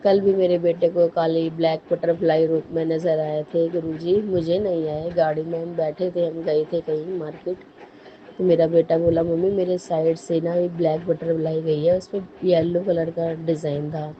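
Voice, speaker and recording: Hoarse low-voiced woman giving devout thanks. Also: little pitch movement, muffled recording, slight background noise. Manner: earnest, halting, repeats thanks and apologies. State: hoarse from a cold and cough. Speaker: devotee sharing a testimony. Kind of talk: devotional personal testimony.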